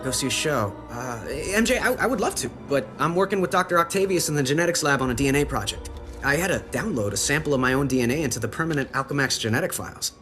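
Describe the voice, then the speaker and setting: A man's voice: mid-range voice, animated, quick pace, fairly bright tone, soft background music, lightly acted. A man playing a young, nerdy, wisecracking and nervous superhero in a video game. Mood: awkward, affable. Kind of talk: video game cutscene acting.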